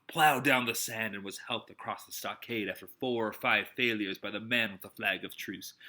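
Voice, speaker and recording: A man gives a dramatic amateur audiobook narration of an adventure tale. His voice is very bright and forceful, at a middling pitch.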